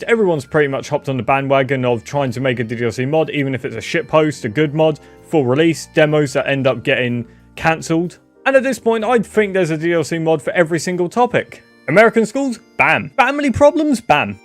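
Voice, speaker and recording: A man with a slightly high voice gives casual guest commentary. He is informal and jokey, swears freely and shares opinions, with music playing behind him. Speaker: guest video contributor.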